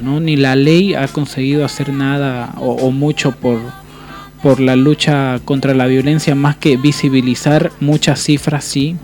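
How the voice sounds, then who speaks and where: Higher-pitched Bolivian man on radio. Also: darkish tone. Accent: Bolivian Spanish. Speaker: radio show co-host. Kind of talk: unscripted radio panel talk. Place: radio booth.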